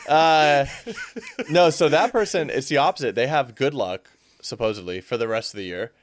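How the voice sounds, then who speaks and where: A man's voice: medium pitch, moderate pace, crowd noise. A young man, a fitness coach guest, chatting casually and animatedly, with frequent fillers. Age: young adult. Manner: animated, fills speech with "like". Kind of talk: casual chat.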